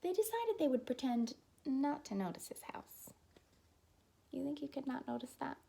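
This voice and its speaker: A woman's voice: high-pitched, animated, moderate pace, gravelly, mellow. A woman reading a children's picture book aloud as a teacher, expressively, with asides to young listeners.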